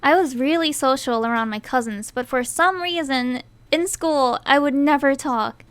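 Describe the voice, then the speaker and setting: A woman's voice: high voice, normal speed. A young woman from the United States, whose first language is Thai, narrating her own story in a chatty, self-deprecating way. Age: young adult.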